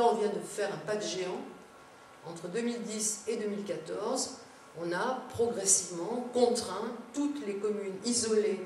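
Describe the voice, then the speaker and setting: A woman's voice: medium pitch, darkish tone. Female seminar speaker, explanatory with informal asides, heard from off-mic in a heavily echoing space with faint noise. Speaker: conference speaker, local government adviser. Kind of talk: public lecture to elected officials. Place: large echoing indoor seminar room. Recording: very echoey room, distant mic, background noise.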